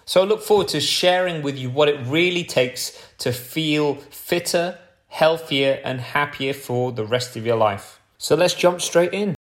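Man opening a podcast. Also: unhurried. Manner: upbeat pitch-style delivery. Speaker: podcast host. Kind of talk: scripted show intro.

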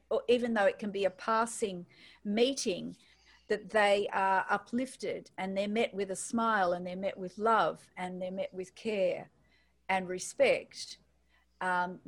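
An Australian woman speaks in a thoughtful, searching way, pausing and restarting as she works toward each point. Her voice sits in a middle register, and she talks at an even conversational pace. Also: mid-range voice. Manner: thoughtful, hesitant, restarts phrases. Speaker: guest. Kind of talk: casual spiritual conversation.

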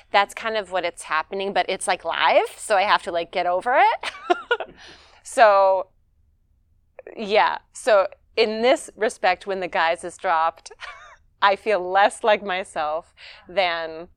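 A woman with a low voice, an actress guest, speaking in an unhurried way and laughing often. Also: clean tone, dry recording, clean studio sound. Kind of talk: casual interview chat.

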